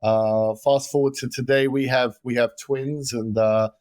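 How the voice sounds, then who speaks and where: London man, interview guest, energetic, repeats starts, dry sound. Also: medium pitch, a little slow, no room sound. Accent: London English. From London, England. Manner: energetic, repeats words when starting sentences. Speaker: guest, gold investment expert. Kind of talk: casual interview talk.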